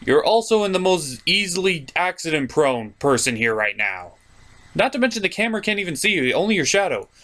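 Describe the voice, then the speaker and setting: A man's voice: a little high, sing-song, fairly quick, pushed and bright. A man hosting a cartoon review show with theatrical, sardonic comedic commentary.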